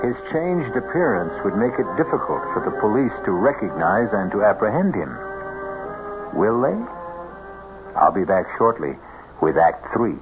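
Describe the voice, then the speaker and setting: Casting: grave mystery host, low voice, suspenseful scripted narration, narrow vintage broadcast sound. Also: male voice, very animated, moderate pace. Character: grave, ominous male storyteller of mystery tales. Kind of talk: scripted dramatic narration, old-time radio. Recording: tinny old radio broadcast. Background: music.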